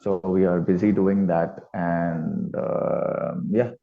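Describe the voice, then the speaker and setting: A man's voice: low voice, steady tone, slow, creaky. A man with an Indian accent speaking casually as a musician being interviewed. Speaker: band musician, interview guest. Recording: slightly dull, lo-fi call audio.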